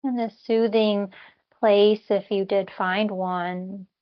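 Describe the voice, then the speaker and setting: Slow-paced woman guiding a meditation. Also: mid-range voice, steady tone, dull audio. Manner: gentle, unhurried instruction with long pauses. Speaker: meditation teacher. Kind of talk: guided body scan meditation.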